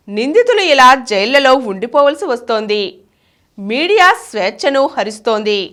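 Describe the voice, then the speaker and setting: High, bright-voiced woman reading aloud. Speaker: reader of a written essay. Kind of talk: scripted narration of a political essay.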